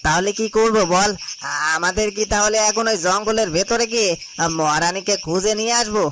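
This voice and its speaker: A man's voice: high-pitched, fairly quick, lightly acted. A man playing an anxious, cowardly royal guard in a fairy-tale cartoon, hesitant and stammering. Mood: worried. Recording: noisy, cricket and insect sounds behind.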